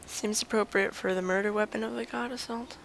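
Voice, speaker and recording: A woman's voice: medium pitch, fairly even intonation, brisk, creaky, a bit bright. A woman game streamer giving casual reactions as she plays. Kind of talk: casual reactions while gaming.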